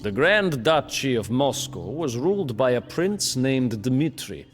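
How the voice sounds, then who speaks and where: An actor playing a proud, bitter medieval Lithuanian prince who narrates his story theatrically in a video game cutscene. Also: medium pitch. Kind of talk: dramatic scripted historical narration in character.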